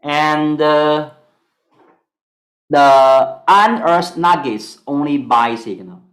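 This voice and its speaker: Slow, halting Singaporean man teaching. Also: a little high, echoey room. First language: Mandarin. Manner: halting, many fillers, broken English. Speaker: stock analysis teacher. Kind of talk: online class lecture.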